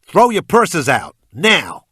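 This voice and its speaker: A man voicing a male gunman in a thriller audiobook, forceful and bold in manner. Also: fairly high pitch, wildly swooping pitch, slow pace, husky, dry recording, studio quality, voice pushed up, exaggerated voice. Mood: shouting, commanding. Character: menacing male gunman bluffing as a lost traveller. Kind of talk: audiobook character voice.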